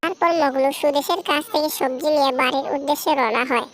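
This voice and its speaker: A woman's voice: high voice, quick pace. A woman narrating a cartoon story. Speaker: narrator voicing all parts.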